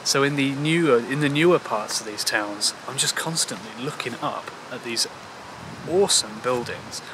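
A man in his thirties talks casually to a handheld camera as he walks outdoors. His delivery is halting, trailing into asides, at an ordinary male pitch. Clear ambient noise sits behind his voice. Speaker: travel vlogger. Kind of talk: casual walking commentary. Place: outdoors in a park and old town streets. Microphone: handheld camera at arm's length.

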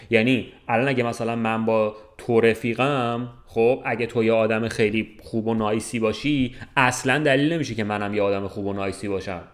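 A man in casual podcast chat, explaining things colloquially and checking in with the listener often. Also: mid-range voice. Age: 20s. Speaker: podcast co-host. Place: small bright room with large window.

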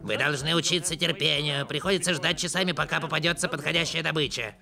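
A man's voice: a little high, fairly even intonation, quick pace, gravelly. A man voicing a lecturing old male eagle mentor in a cartoon. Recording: music underneath.

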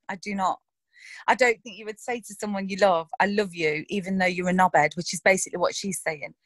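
An actress talks through her characters in a loose, frank interview, animated and quick to laugh or swear. Her voice sits mid-range for a woman and sounds dry and close, with no room around it. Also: medium pitch, no room sound. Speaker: actress discussing her roles. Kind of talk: casual interview chat.

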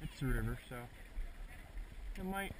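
A man's voice: mid-range voice, expressive, a little slow. A man chatting casually and joking lightly while fishing outdoors in a river, filming on an action camera. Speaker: amateur angler filming a fishing trip. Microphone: action camera worn or handheld. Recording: distant action-camera audio, loud river noise.

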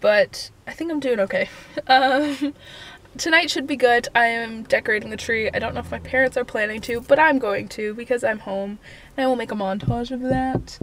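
A woman's voice: on the high side, normal speed, slight background noise. A young woman with a stuffy nose from a cold, chatting casually to a handheld camera at arm's length. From Canada. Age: young adult. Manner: chatty, rambling, quiet at night. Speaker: vlogger. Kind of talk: casual daily vlog. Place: bedroom, car and living room at home.

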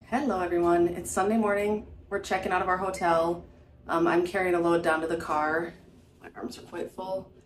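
A middle-aged mother talks casually into her phone as she drives and walks through a hotel and parking lot. Her voice sits a little low, and the phone picks up plenty of surrounding noise. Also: steady tone. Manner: chatty, a bit rushed while walking and carrying things. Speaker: vlogger, mother of teenagers. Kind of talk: casual on-camera chat. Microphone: phone held at arm's length. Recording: phone audio with clear background noise.

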